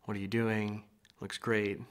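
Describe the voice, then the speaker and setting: A man in his twenties from Arizona giving a relaxed, casual testimonial, with lots of "you know". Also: fairly low pitch, unhurried, clear, resonant tone. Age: 20s. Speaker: client testimonial.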